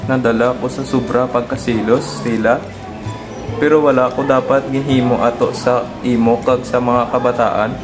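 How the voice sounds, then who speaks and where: A man's voice: medium pitch, fairly even intonation, normal speed, close to an everyday voice. A man with a Western Visayan Filipino accent playing a jealous, emotional husband and father in a radio drama. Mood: remorseful, subdued. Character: jealous husband and father, volatile, later remorseful. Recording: echoey room with music bed.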